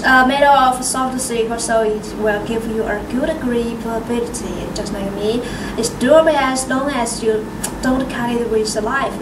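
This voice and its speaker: Female product demonstrator, slightly high pitch, halting non-native delivery, echoey indoor recording with noticeable noise. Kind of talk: product demo presentation. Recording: roomy, distant mic, clear background noise.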